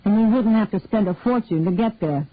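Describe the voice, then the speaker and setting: A woman's voice: medium pitch, fairly quick, husky, lightly acted. A woman voicing a sulky, grumpy husband who gripes sourly. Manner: griping, sour. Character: sulking grumpy husband, griping. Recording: tinny phone-like dry sound.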